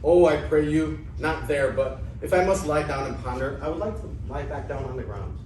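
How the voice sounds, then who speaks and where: A man's voice: on the high side, normal speed, darkish tone, lightly acted. Plaintive old bumbler, echoey and distant man acting. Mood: pleading, woeful. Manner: plaintive, theatrical lamenting. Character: woeful old debtor turned bumbling pupil. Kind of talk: amateur staged reading of ancient Greek comedy. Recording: echoey room, distant mic, clear noise.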